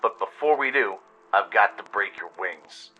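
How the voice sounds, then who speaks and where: A man's voice: lowish voice, lively intonation, a little slow, bright, forceful tone, soft background music, poor audio. A man voicing a tough, scarred gunslinger pony in a radio play, stern and threatening.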